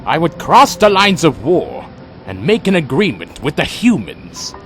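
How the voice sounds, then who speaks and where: A man's voice: on the high side, wildly swooping pitch, unhurried. A man playing a proud, defiant vampire clan leader in an audio drama, formal and theatrically intense. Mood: grave, resolute. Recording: dramatic music behind.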